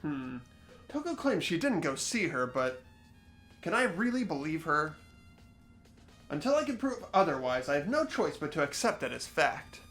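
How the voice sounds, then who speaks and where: A young man hosting a game playthrough, switching between expressive scripted narration and casual commentary. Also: a little high, moderate pace. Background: soft game music.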